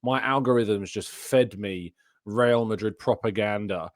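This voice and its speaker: A man's voice: on the low side, measured pace, dry recording, clean studio sound. A young man hosting a casual football podcast from a home room over a video call, chatty and self-deprecating, laughing often. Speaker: host. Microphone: studio mic on desk with headphones.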